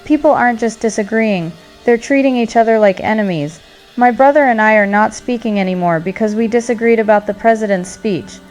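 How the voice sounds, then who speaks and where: An actress as a troubled mom on a call-in show. Her voice is rather high, sad and bewildered, over faint music in an audio drama. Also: brisk, a little mellow, lightly acted. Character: saddened, bewildered mother calling talk radio. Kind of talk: radio call-in drama acting.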